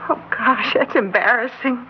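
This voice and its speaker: A woman's voice: a little high, measured pace, natural voice. A woman playing a precocious schoolgirl in an old-time radio drama, putting on girlish innocence and a superior, precisely worded manner. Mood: giddy, flustered. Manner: switches between gushing girlish and precise, condescending diction. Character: precocious schoolgirl plotting revolution, feigning giddy innocence, coolly superior. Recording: muffled, hissy old-time radio recording.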